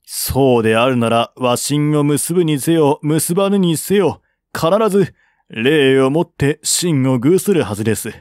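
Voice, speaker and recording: A man's voice: on the high side, animated, unhurried, a little mellow, no room sound, studio quality. A man voicing an earnest, persuasive envoy of ancient China in a historical audiobook, speaking formally and deferentially. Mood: insistent pleading.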